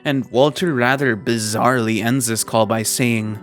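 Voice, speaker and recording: A man with a mid-pitched voice narrates scripted commentary over faint music. He is measured and wry, drawing out the odd word for emphasis like a video essay host. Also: steady tone, a bit bright. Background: background music.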